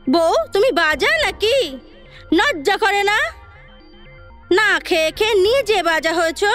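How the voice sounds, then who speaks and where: An actress playing a sharp-tongued young princess, a sage's indignant wife, with a shrill voice in a theatrical audio drama. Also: female voice, heavily acted.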